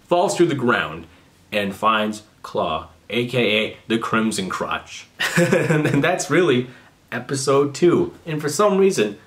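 A young man talks straight into his webcam from a small bedroom, his voice sitting at an ordinary mid pitch. He sounds sarcastic and mocking, and he swears freely as he runs through a casual commentary. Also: fairly bright tone. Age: young adult. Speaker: commentary youtuber.

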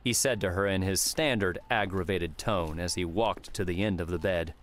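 A man's voice: low voice, moderate pace, a little hiss or hum. A man reading scripted fiction as an audiobook narrator.

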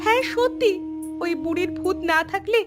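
A woman's voice: extremely high pitch, normal speed, over music. A woman voicing a frightened, earnest young village wife in a horror cartoon. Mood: anxious.

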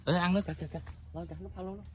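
A man with a Southern Vietnamese accent giving excited live commentary while fishing at a rural pond, repeating words as fish bite. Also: high voice, rapid-fire, very noisy. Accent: Southern Vietnamese (Mekong Delta). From Mekong Delta, Vietnam. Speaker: fishing vlogger. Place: outdoors by a muddy pond in rural greenery. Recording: outdoor ambience with birds.

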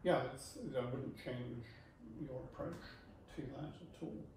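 A man in his fifties, a homeowner giving measured, polite feedback in a casual interview at his dining table. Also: mid-range voice, darkish tone. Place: home dining room, indoors. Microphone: far camera. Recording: echoey room, far from mic, noisy.

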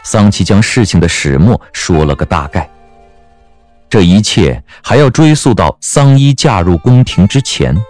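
A man narrating a scripted audio drama as an expressive storyteller. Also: sits low, measured pace, dry recording, faint music behind.

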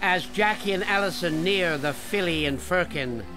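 A man's voice: sits high, normal speed. A man reading scripted documentary narration for television as the narrator. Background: music.

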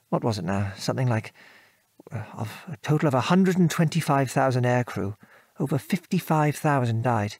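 Man playing middle-aged British dad, war veteran, gentle, genteel old-fashioned phrasing, medium pitch, dry studio sound, radio drama. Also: dry recording, close to an everyday voice. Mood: sober, reflective. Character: gentle middle-aged British father, former wartime RAF bomb aimer. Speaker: actor. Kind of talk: radio drama dialogue, reminiscing.

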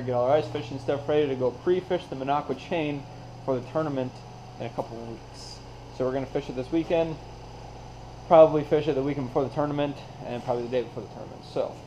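A man's voice: mid-range voice, noisy background. A young man talking casually to his action camera as a vlogger, in a garage, in the snow and in his truck, rambling with lots of "so" and "yeah.". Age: young adult. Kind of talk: casual vlog narration.

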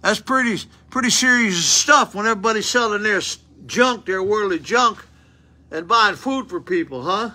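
An older man with a drawl preaches to a small church, recorded up close on a phone. His voice is high and very bright, and he throws out "huh?" and "you know what happened?" as he goes. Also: animated, moderate pace. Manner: folksy, rhetorical questions, loud unamplified voice. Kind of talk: informal sermon with Bible reading. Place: small church room, indoors. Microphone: phone camera close up.

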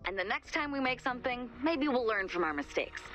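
A woman playing a level-headed, skeptical ship captain in a video game, measured and dry. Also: on the high side, expressive, fairly bright tone, faint music behind. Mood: encouraging. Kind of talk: video game character voice acting.

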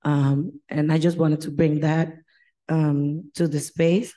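Slow, low-voiced woman from the Dominican Republic. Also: level tone, mellow. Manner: measured, many pauses and fillers. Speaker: panelist. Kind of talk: panel discussion. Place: large conference stage.

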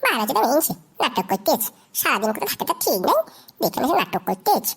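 A woman's voice: sits high, big pitch swings, fast talker, breathy edge, exaggerated voice. A woman voicing a scolding, crude young village wife in a comedy cartoon. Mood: grumbling. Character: angry, foul-mouthed young Bengali village wife.

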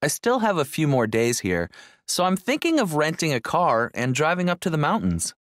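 A man's voice: on the high side, wildly swooping pitch, moderate pace, fairly bright tone, no room sound, studio quality. A man playing an enthusiastic visitor in scripted small talk for a language listening exercise. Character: enthusiastic male visitor enjoying his trip.

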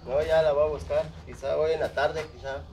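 A man's voice: on the high side, fairly even intonation, a little fast. A man, a construction worker, chatting casually outdoors near a phone camera. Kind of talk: casual chat. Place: open block-house building site outdoors.

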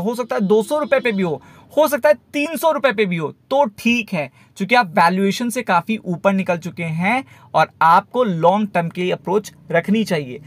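High-pitched Indian man explaining markets. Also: dry recording. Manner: repeats himself, mixes Hindi with English terms. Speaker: stock market video host.

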